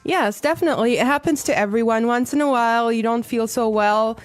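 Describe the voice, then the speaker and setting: A woman co-hosts an English lesson in a cheerful, clear way, explaining each phrase for learners. Faint music plays softly behind her voice. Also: fairly high pitch, a little fast. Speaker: podcast co-host, English teacher.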